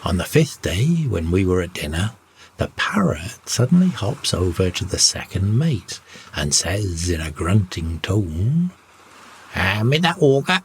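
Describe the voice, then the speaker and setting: A man narrates a bedtime story in a fairly low voice. He takes his time, with very slow phrasing and plenty of melodic movement. A soft layer of ambient noise sits behind him. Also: very animated. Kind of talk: scripted first-person story narration for sleep.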